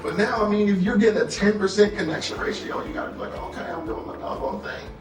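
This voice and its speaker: A man's voice: high voice, fast talker. An American man leading an interactive sales training as a motivational trainer, often checking in with his listeners. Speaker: workshop trainer. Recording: roomy echo, distant mic, faint music.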